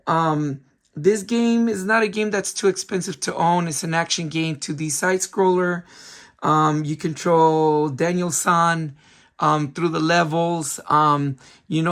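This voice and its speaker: A man with a hoarse voice, still recovering from a cold, hosting a casual game review with lots of ums and restarts. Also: on the high side, a bit bright. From San Francisco Bay Area. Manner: chatty, many ums, restarts himself. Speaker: retro game collector channel host.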